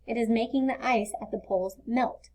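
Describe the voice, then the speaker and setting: A woman's voice: fairly high pitch, a little fast, darkish tone, lightly acted. A woman reading the part of a patient, caring mother who explains things in a children's storybook. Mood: serious. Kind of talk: children's storybook read-aloud.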